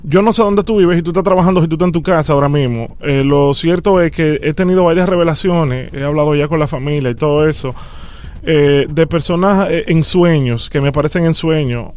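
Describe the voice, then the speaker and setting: Male prank caller, Dominican accent, high voice, dramatic, persistent, imploring delivery. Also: a little fast, darkish tone. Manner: insistent, pleading, dramatic. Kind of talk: phone prank, improvised pleading conversation.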